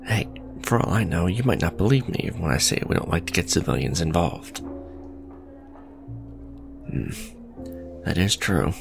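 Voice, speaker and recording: A man acts out a male supervillain on a blind date in an ASMR audio drama. His voice is very low and gravelly, with lively swings in pitch. He comes across as blunt yet awkward, hesitant and businesslike. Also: measured pace, pauses often, music in the background, heavily acted. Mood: reassuring, casual. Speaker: voice actor. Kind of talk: ASMR romantic roleplay acting.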